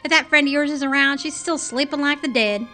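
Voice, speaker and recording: A woman playing a chatty, folksy, excitable neighbour lady in an audio drama. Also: sits high, a little fast, faint music behind. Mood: excited, nosy. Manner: folksy, exclaiming.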